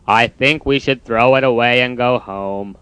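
A man's voice: medium pitch, a little slow, fairly bright tone, clear, resonant tone, no echo, voice pushed down, natural voice. A man voicing a gloomy, easily discouraged toad in a storybook, with a mopey manner. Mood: glum. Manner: mopey, sighing.

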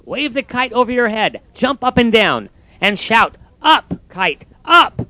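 A man's voice: very high-pitched, very animated, a little slow, bright, forceful tone, dry recording, voice pushed up, exaggerated voice. A man voicing a patient, encouraging frog in a storybook, with an upbeat, coaxing manner.

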